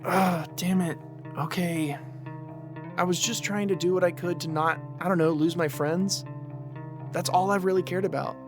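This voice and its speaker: A man's voice: sits high, fairly even intonation, moderate pace, long pauses. Hesitant, guilty actor as apologetic male friend. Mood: guilty, defensive. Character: guilty, apologetic man anxious to keep friends. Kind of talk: audio drama dialogue. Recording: guitar music behind the voice.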